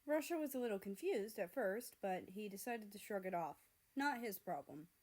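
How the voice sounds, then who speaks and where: A woman reading fan fiction aloud as an expressive storyteller. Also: medium pitch, normal speed.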